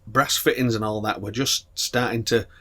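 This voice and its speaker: A middle-aged man, co-hosting a casual podcast and telling crude stories that get loud and laughing as he warms up. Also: on the low side, steady tone, moderate pace. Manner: crude, laughing storyteller, gets loud when worked up. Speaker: podcast co-host. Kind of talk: casual banter.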